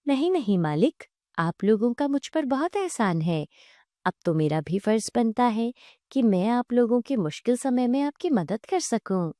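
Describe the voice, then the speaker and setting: Woman voicing a gentle, slow-speaking magical cow. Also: on the high side, animated, dry recording, studio quality. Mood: devoted. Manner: reverent, reassuring, formal. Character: gentle, grateful magical talking cow, a new mother.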